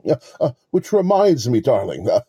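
A man acting in an audio play as a smug, pompous con artist, lecturing verbosely with mock courtesy in a husky voice. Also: mid-range voice, very animated, moderate pace, warm tone, no echo, studio quality. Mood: pleased, chatty. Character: smug, verbose male con artist posing as police lieutenant.

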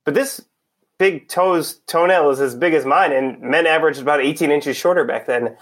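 A man's voice: a little high, normal speed, a bit bright. An actor in a mystery audio play, playing an impatient, blunt undercover policeman who poses as a buyer. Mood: businesslike. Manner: blunt, exasperated, demanding. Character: impatient, foul-mouthed male undercover cop posing as buyer.

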